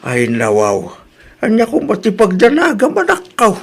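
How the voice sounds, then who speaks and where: A man's voice: sits high, wildly swooping pitch, moderate pace, slightly rough, heavily acted. A man with an Ilocano accent acting a thrifty, grumbling working husband in an audio drama. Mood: concerned.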